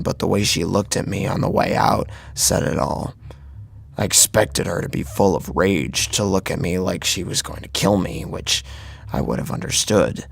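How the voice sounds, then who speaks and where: A man narrating a first-person horror story dramatically, with a grave, intense delivery and a husky, gravelly voice. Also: deep voice, no room sound.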